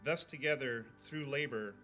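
A middle-aged man reads poetry solemnly at a podium microphone, deliberate and emphatic. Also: mid-range voice, pushed and bright. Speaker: community poetry reader. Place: indoor event room, at a podium. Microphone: podium microphone on a stand. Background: faint music.

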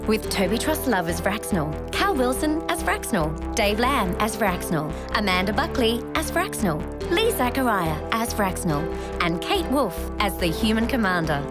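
Female credits announcer, animated delivery, scripted, loud music bed. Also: medium pitch, measured pace, few pauses. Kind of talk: scripted end credits narration.

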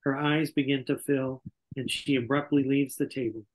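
A man's voice: mid-range voice, steady tone, moderate pace, rough recording. An older man reading scripted stage directions as narrator at a table read over a video call. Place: indoor room on a video call. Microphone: video call microphone.

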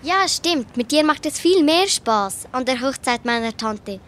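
A woman's voice: sits high, animated, a little slow, a bit bright, a little hiss or hum. A young woman acting an eager, earnest girl detective in an amateur radio play.

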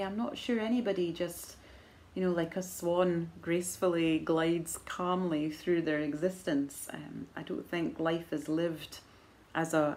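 Soft-spoken Edinburgh Scottish woman, low voice. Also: slight background noise. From Scotland. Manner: thoughtful, measured, gently laughing, frequent fillers. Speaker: knitting vlogger answering viewer questions. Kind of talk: casual chat to camera. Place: living room at home, indoors. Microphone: camera in front at arm's length.

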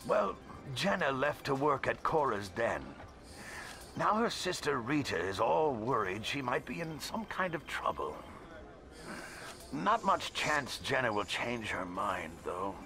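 An actor voicing a genial, hospitable alien nightclub owner and bartender in a video game. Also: male voice, medium pitch, sing-song, a little slow, vocal fry, bright, forceful tone, exaggerated voice. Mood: matter-of-fact. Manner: hospitable, salesmanlike. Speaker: bar owner.

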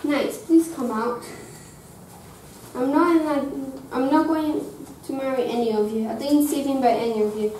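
A young student playing a gentle young princess in a school play, reading earnestly from her script. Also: high voice, normal speed, slight background noise, close to an everyday voice. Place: school drama room, indoors. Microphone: far camera. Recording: echoey room from far off.

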